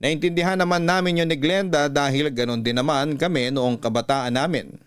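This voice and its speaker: A man narrating a listener's letter as a radio storyteller, measured with dramatic pauses. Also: a little high, steady tone, fairly bright tone, clear, resonant tone.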